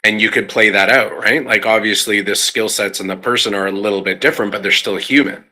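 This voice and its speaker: Very bright, forceful low-voiced man. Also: fairly even intonation, fairly quick. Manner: conversational, punctuates points with tag questions. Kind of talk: casual discussion.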